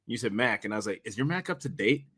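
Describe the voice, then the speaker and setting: A man's voice: lowish voice, animated, fast talker, a bit bright, slightly rough. A man chatting casually as a co-host, eagerly repeating and restarting his phrases. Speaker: audio drama director, co-host. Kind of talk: casual chat.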